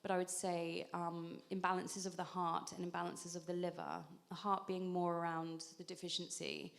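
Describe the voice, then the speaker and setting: Female panelist, 30s, low gravelly voice, talks it through with ums and restarts. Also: flat delivery, normal speed. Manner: explanatory, frequent ums and restarts. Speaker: acupuncturist panelist. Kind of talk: conversational panel explanation.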